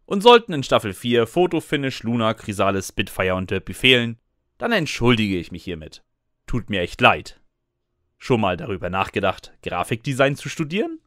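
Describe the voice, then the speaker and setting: A man's voice: medium pitch, big pitch swings, moderate pace, fairly bright tone, no echo, clean studio sound. A man playing a sarcastic student who narrates his own chaotic life in scripted comic first person. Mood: wry narrating. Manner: wry, sarcastic. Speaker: narrator and lead character.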